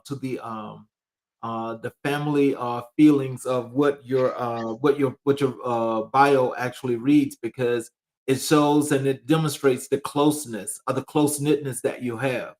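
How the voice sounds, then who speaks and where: A man's voice: mid-range voice, fairly even intonation, measured pace, a little mellow. A man hosting an interview show, reading a formal guest introduction in a list-like way and stumbling over fillers in conversation. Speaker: show host.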